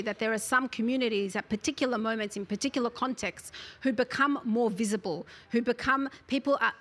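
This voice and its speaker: A woman, speaking as an interview guest who talks about her book in an articulate, earnest way and often fills pauses with "you know". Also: a little high, a bit bright. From Australia. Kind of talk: conversational interview.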